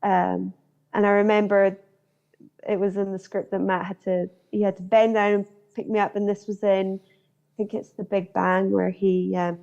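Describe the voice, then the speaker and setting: A woman's voice: mid-range voice, level tone, moderate pace, gravelly, darkish tone. A woman in her twenties from Northern Ireland chats through an interview, telling stories with plenty of fillers. Her voice sits in a middle register. The sound is dull and lo-fi. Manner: chatty, storytelling with fillers. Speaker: guest actor. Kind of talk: casual interview chat.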